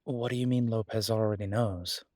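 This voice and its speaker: A man's voice: on the low side, steady tone, a little mellow, dry recording, close to an everyday voice. Man acting a protective, stubborn lover, close dry sound. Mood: exasperated. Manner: earnest, insistent, emphatic with pauses. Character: protective male werewolf detective, devoted, stubborn, exasperated lover. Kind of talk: dramatic roleplay audio acting, intimate one-sided dialogue.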